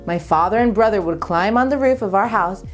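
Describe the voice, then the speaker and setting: An American woman in her thirties talks as a vlogger, sharing personal stories. Her manner is upbeat and friendly, and her voice sits at an average pitch. Music plays clearly behind her. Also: a little fast. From Japan, raised by American parents. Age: 30s. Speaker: vlogger, channel host. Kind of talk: personal storytelling vlog.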